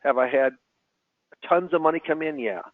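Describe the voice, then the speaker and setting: A man who works as a financial advisor, speaking as a webinar guest, answering questions with a halting delivery full of repeated words. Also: on the high side, dry recording. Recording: tinny phone line.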